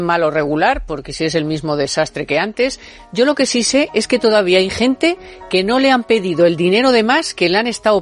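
A woman hosting a radio talk show from a studio, talking in an emphatic, colloquial and sarcastic way. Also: on the low side.

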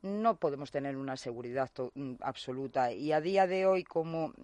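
A woman interviewed on the radio, explaining her subject in a measured way. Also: very deep voice, expressive, warm tone, no room sound. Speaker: interview guest, water company representative. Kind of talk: answering interview questions.

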